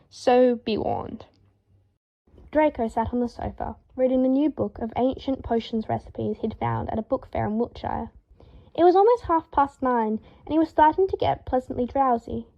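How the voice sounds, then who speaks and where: Woman narrator, fan fiction reading, higher voice, muffled lo-fi sound. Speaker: podfic reader. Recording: dull lo-fi home recording.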